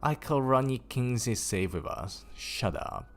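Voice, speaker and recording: A man streaming a casual story reading, raising his pitch for the characters and stopping to laugh and swear at typos. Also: expressive, a little slow, fairly bright tone. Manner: lifts pitch for quoted characters. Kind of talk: casual livestream book reading.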